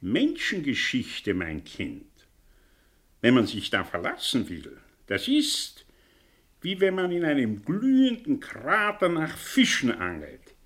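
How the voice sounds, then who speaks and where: A man's voice: medium pitch, wildly swooping pitch, slow, fairly bright tone, heavily acted. An actor playing a scornful, cryptic male teacher in a radio drama, declaiming emphatically and talking down to his pupil. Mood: sardonic, oracular. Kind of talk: theatrical radio drama monologue.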